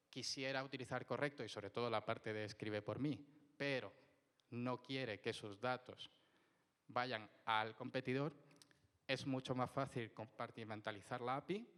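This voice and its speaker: Smooth-voiced Spaniard explaining tech in a hall. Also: male voice, medium pitch. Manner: lively, explanatory, frequent "¿vale?" checks. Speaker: technical speaker. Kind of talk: conference presentation with audience questions. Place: conference hall. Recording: reverberant hall.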